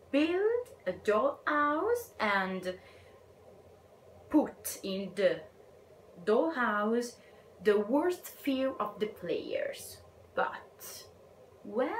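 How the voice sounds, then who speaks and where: Young Italian woman, Italian accent, shy book vlogger, very slow and hesitant, echoey room recording. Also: a little high. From Italy. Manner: slow, hesitant, shy, drops to a whisper at times. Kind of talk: casual book review vlog. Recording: echoey room with faint ambient noise.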